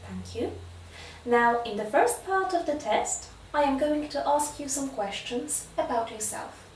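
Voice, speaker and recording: A woman with a high, very lively voice runs a spoken English exam, giving clear, measured prompts at an unhurried pace. Her voice sounds roomy and echoing, as if the mic sits some distance away. Faint background noise is heard under the talk. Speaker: exam interviewer.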